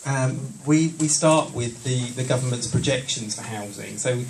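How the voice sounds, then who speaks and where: Planning guy explaining numbers at a council meeting, calm and steady, heard from back in the room. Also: medium pitch, creaky. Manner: measured, explanatory, some hesitation. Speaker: planning official. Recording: distant mic with background noise.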